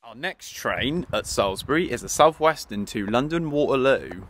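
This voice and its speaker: A man's voice: mid-range voice, lively intonation, moderate pace, fairly bright tone. A young man chatting eagerly to his viewers as he vlogs trains from a railway platform, filming on a phone at arm's length. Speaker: trainspotting vlogger. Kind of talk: casual vlog commentary. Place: outdoors on a railway station platform. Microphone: phone camera held at arm's length. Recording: faint outdoor background noise. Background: faint outdoor traffic and station ambience.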